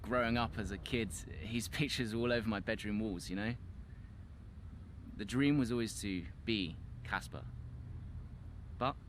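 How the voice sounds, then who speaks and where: An actor playing an earnest, modest young rookie racing driver with a hesitant way of answering. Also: male voice, lowish voice, a little hiss or hum, close to an everyday voice. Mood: awed, modest. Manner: hesitant, halting interview answers.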